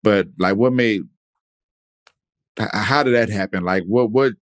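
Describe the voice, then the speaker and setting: A man hosting a podcast interview in relaxed, casual chat, rambling with many fillers and restarts. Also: lowish voice, unhurried, no room sound, clean studio sound. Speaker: podcast host.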